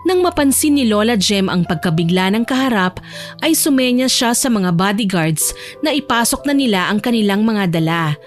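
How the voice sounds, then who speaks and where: A woman's voice: medium pitch, lively intonation, normal speed, faint music behind. A woman narrating a scripted romantic audio drama as a storyteller.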